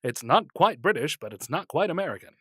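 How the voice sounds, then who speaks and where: A man's voice: fairly high pitch, big pitch swings, brisk, fairly bright tone, slightly rough, dry recording, clean studio sound. A man co-hosting a podcast, teasing his co-host, explaining things and laughing often. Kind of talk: casual chat.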